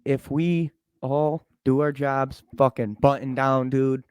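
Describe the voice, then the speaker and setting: A man's voice: mid-range voice, fairly even intonation, slow, a little mellow, smooth, no echo. Rambling young man saying "bro" a lot. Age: 20s. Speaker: podcast co-host. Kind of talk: casual chat.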